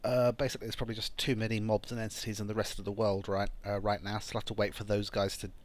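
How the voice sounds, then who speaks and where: A man with a croaky, phlegmy voice from a cold, talking through a building game in casual commentary and thinking aloud with frequent ums. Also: on the low side, little pitch movement, rapid-fire. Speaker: gameplay channel host. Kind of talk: casual let's-play commentary.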